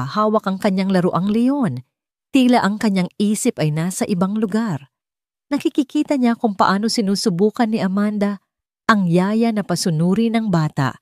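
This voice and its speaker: A woman reads a scripted dramatic story in a measured, storytelling way. Her voice sits fairly low for a woman. The sound is dry, with very little room in it. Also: a little mellow, dry recording. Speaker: audiobook story narrator.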